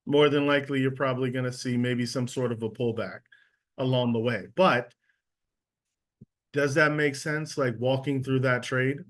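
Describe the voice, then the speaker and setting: A man's voice: mid-range voice, steady tone, normal speed. A man teaching a casual live trading lesson over a video call, checking in often with his listeners. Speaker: trading instructor.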